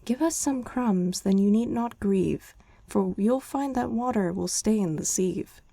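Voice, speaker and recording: A flock of small friendly wrens, speaking high and lilting in a bedtime story, as a woman puts them on. The voice is lifted well above her own. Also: unhurried, vocal fry, dark tone, no room sound. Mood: helpful. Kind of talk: ASMR storybook reading.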